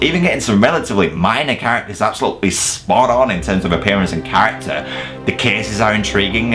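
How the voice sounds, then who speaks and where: Low-pitched man, casual reviewer. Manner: sweary, emphatic, self-deprecating humour. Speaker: video reviewer presenting a top ten list. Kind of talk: casual, opinionated scripted review narration.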